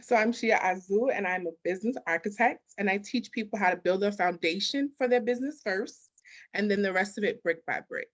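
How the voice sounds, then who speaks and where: Female business coach as interview guest, mid-pitched, motivational and emphatic. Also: brisk. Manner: emphatic, repetitive, rhetorical questions. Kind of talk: conversational motivational interview answers.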